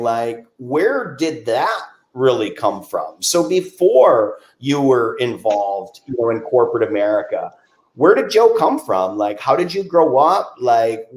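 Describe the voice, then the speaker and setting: A man's voice: mid-range voice, darkish tone. A man in his forties hosting a podcast, chatting casually and filling his talk with "you know". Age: 40s. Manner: talkative, many "you know" fillers. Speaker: podcast host. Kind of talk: casual interview chat. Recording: echoey room.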